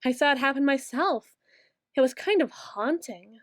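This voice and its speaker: A young woman voicing a brooding queen who speaks softly and musingly, close to the microphone, in an ASMR roleplay. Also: sits high, expressive, dry recording. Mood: wistful. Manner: soft-spoken, slow and musing, turning bitter. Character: brooding young queen, bitter toward her late father.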